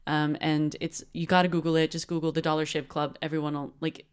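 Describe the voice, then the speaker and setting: Smooth-voiced playful American woman. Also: sits low, level tone, fairly quick. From United States. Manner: playful, laughs often. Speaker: podcast co-host. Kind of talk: casual chat.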